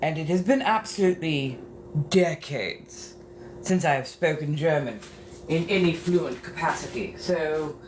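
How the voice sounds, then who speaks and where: A woman hosting a casual livestream from a bathroom as she gets ready. She talks in a flirtatious, theatrical way and calls her viewers darling. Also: deep voice. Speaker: livestream host. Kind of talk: casual livestream chat while getting ready. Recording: clear background noise.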